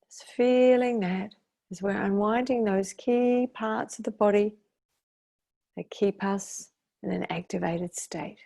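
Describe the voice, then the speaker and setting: A woman guiding a meditation as its teacher, speaking in a soothing, unhurried way with long pauses. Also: mid-range voice, gravelly, warm tone. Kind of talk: guided meditation.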